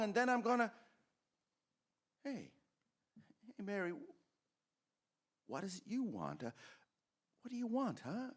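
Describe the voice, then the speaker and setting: An actor playing an eager, dreamy small-town young man, halting and excitable, in old-time radio drama style. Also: sits high, heavily acted.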